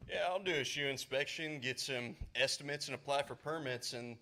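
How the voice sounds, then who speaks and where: A man's voice: medium pitch, moderate pace, pushed and bright. Man acting a matter-of-fact building contractor. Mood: practical. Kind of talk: scripted stage parody dialogue.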